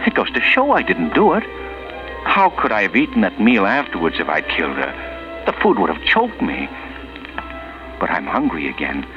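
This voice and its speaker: Haunted, agitated killer, old muffled radio. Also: male voice, low-pitched, huge pitch swings, normal speed, pauses often. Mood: agitated, brooding. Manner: brooding, agitated inner monologue. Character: haunted, self-pitying married man who killed his lover. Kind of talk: 1940s radio mystery acting. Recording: muffled vintage 1940s radio, music behind.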